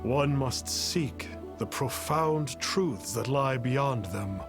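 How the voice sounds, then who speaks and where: Slow, pompous cryptic sage. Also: male voice, mid-range voice, animated, music in the background. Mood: lofty. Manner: pompous, archaic, mysterious. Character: pompous charlatan posing as a cryptic immortal sage.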